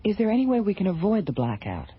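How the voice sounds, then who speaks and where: Friendly female research director for radio sci-fi, animated delivery, dull recording. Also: mid-range voice, fast talker, husky. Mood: composed, friendly. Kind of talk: radio drama acting.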